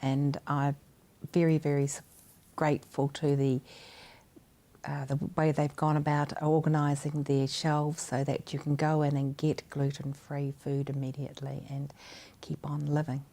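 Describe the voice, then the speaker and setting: A woman's voice: deep voice, completely flat delivery, unhurried, mellow. A woman, a guest from a gluten-free society, speaking earnestly and emphatically in an interview.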